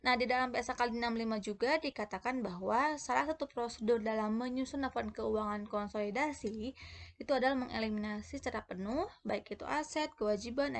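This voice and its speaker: A woman's voice: a little high, gravelly. A woman narrating an explanatory lesson as a lecturer, often hesitating and restarting words mid-way. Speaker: accounting lecturer.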